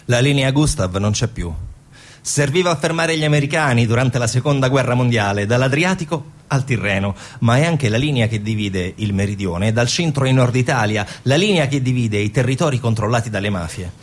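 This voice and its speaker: A man with a husky voice giving scripted dramatic narration for a theatre piece. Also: medium pitch, lively intonation, brisk.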